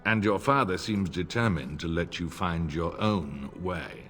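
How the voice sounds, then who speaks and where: An actor playing a smug, middle-aged nobleman and war veteran in a video game, formal and sardonic, with a husky voice. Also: low voice, a little slow, a bit bright. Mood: smooth, condescending. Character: older nobleman, old war comrade, smug, wry, insincerely courteous. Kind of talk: video game cutscene acting. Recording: long reverb, music underneath.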